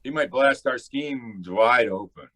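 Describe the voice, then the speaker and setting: An actor playing a cocky racketeer boss in an old-time radio drama, brash and slangy in delivery. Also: male voice, medium pitch, animated, unhurried, a bit bright. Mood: alarmed, scheming.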